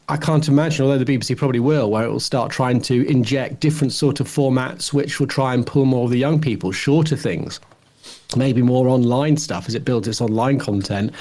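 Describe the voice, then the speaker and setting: A man's voice: mid-range voice, warm tone. Podcast host: man, around fifty, casual, opinionated, teasing. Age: late 40s or about 50. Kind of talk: casual discussion of television.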